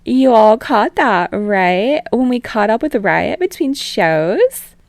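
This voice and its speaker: A smug, teasing talk show hostess with a raised, brassy voice, as a woman reading a story puts her on. Her delivery is loud and bright, with a gravelly edge under it. The recording is close and dry. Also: a little high, sing-song, takes it slowly, no room sound, pitched above the performer's own voice, exaggerated voice. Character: bubbly, teasing female talk show host.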